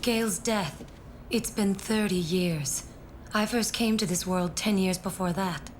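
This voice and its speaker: Solemn woman survivor played by an actress, middle pitch. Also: a little slow, slight background noise, lightly acted. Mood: serious, measured. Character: grave widowed female survivor who has outlived her years. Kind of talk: English-dubbed video game cutscene acting.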